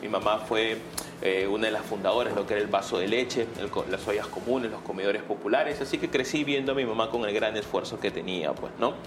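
Lowish-voiced Peruvian man over clear noise. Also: brisk. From Peru. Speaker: guest, political candidate. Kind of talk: conversational interview answers.

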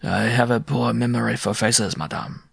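A man's voice: mid-range voice, steady tone, moderate pace, gravelly, breathy edge, no echo, studio quality. A man voicing a vain, middle-aged circus rider and flirt, suave and theatrical, in a story reading. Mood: polite. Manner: suave, theatrical, self-satisfied.

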